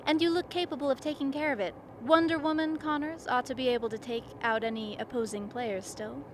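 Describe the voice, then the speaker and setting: A woman's voice: sits high, a little fast, close to an everyday voice. A woman playing a composed, wry expedition team leader in a radio play. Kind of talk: dramatic radio play acting. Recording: clear steady background noise.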